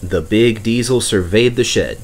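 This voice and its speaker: A man's voice: on the low side, a little slow, breathy edge. A man narrating a children's story from a script as the storyteller.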